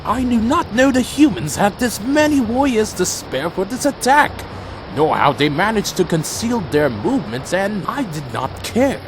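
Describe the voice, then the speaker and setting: A man's voice: high-pitched, sing-song, normal speed, noisy background. A man playing a proud, brooding vampire warrior in a fan audio drama, speaking and narrating in a solemn, formal, dramatic way. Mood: dramatic, grim. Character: proud male vampire warrior, loyal to his clan, brooding. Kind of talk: audio drama dialogue and first-person narration.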